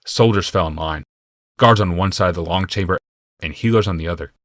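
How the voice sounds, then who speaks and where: A man narrating a fantasy audiobook from a script in a measured, deliberate and dramatic manner. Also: deep voice, fairly bright tone, no echo, studio quality.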